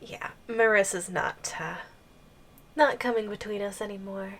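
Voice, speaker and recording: A woman's voice: medium pitch, a bit bright. A woman playing an obsessive giantess girlfriend in an ASMR audio roleplay, coy and hesitant, with playful, sweet menace. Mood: possessive. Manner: slow, coy, hesitant phrasing with teasing menace.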